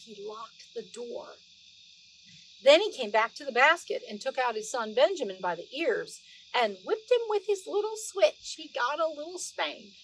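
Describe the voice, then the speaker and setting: A middle-aged woman with a high-pitched voice reads a storybook to young listeners. She tells it expressively, stretching out words and slipping in chatty asides and gentle questions. A faint noisy ambience sits behind her. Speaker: host of a children's read-aloud show.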